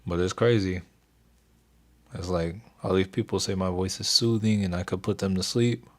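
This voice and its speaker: Young male streamer, very deep and soothing, even delivery, laid-back chat. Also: moderate pace. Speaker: stock trading livestream host. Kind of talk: casual livestream chat with viewers.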